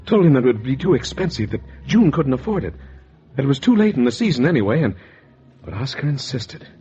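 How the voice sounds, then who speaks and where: 1940s radio noir: secretive American husband, stammering and guilty, swinging pitch, dull vintage sound. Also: male voice, sing-song, quick pace, warm tone. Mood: confiding, scheming. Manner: nervous, hesitant, stammering. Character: anxious guilty husband, secretive murderer, narrating his crime.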